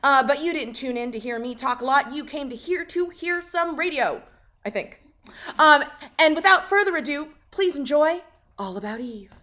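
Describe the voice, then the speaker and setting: Female host, chatty and playful, high bright voice, informal introduction to a show. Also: lively intonation, normal speed. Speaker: theater host. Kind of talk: casual introduction to camera.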